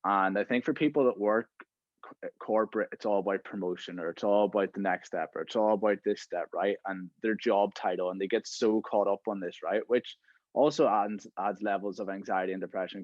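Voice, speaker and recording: A man in his thirties talks through a podcast conversation in a relaxed, rambling way, tossing in "right?" and "you know" as he goes. His voice sits on the low side. The recording is dry and somewhat muffled. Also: a little fast, no echo. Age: 30s. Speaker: podcast host. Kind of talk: casual podcast chat.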